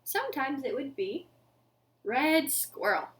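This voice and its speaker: A young woman hosting a children's storytime, speaking to little ones in an animated, deliberate storytelling manner. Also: sits high. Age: young adult. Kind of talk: children's storytelling and action songs. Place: living room at home.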